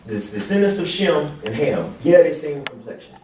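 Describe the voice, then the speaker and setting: A man's voice: a little high, very animated, moderate pace, dark tone. Man talking in an echoey room. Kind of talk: casual religious discussion. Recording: echoey room, distant mic, noisy.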